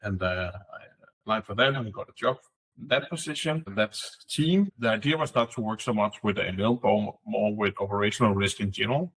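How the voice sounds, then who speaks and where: A man's voice: on the low side, fairly quick, a bit bright, slightly rough, low-quality recording. A middle-aged man from Denmark, a podcast guest who speaks thoughtfully and searches for his words. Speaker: guest, fintech executive. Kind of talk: podcast interview.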